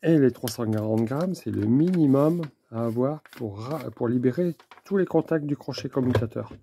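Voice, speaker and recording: Mellow-voiced man explaining, noisy recording. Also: medium pitch. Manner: halting, methodical, in short phrases. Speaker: presenter of a technical demonstration video. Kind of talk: unscripted hands-on explanation. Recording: clear background noise with clicks.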